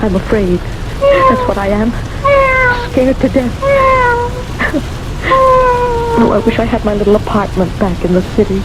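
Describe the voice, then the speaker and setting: A woman's voice: medium pitch, very animated, very noisy, natural voice. Flustered, frightened old woman, acted by a woman. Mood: anxious. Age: older. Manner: flustered, halting, pleading. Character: frightened, indignant old woman living alone. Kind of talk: radio mystery drama acting. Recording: old radio broadcast with music behind.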